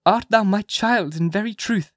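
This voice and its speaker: A narrator voicing a troubled, earnest young mother in an audiobook, pleading with slow, solemn emphasis. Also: medium pitch, no echo, clean studio sound, pitched above the performer's own voice, natural voice. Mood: anguished questioning. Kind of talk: dramatized audiobook character voice, archaic speech.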